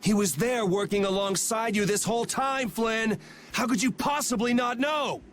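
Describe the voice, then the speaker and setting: A man's voice: high voice, moderate pace, a little hiss or hum, natural voice. An actor playing a brash, cynical young swordsman with a blunt, sarcastic manner in a video game. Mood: accusing. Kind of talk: English video game voice acting.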